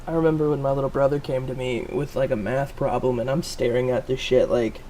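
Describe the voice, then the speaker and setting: A young man in his twenties hosts a casual podcast at an ordinary pitch. He tells jokey stories and swears freely. Faint noise sits behind him. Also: steady tone, brisk, mellow, low-quality recording. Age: 20s. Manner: loose, profane, jokey storytelling. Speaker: podcast host. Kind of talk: casual chat.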